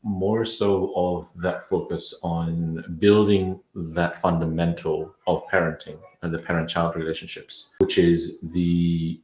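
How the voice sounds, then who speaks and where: A very deep-voiced man from Indonesia speaks as a parenting coach in a podcast interview. He talks thoughtfully, often restarting and repeating words. The room gives his voice a noticeable echo. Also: level tone, slow pace, few pauses, darkish tone.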